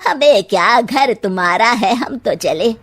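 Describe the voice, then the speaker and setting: A woman's voice: a little high, expressive, a little slow, over music. A woman voicing a spiteful, gossiping middle-aged aunt in a cartoon story, exclaiming scornfully and drawing out her words.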